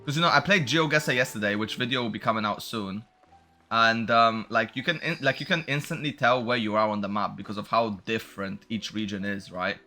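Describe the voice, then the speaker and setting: A man's voice: fairly low pitch, fairly quick, bright, forceful tone, faint music behind. A man giving casual, unscripted commentary as he plays a video game, musing and thinking aloud. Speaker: streamer playing a video game.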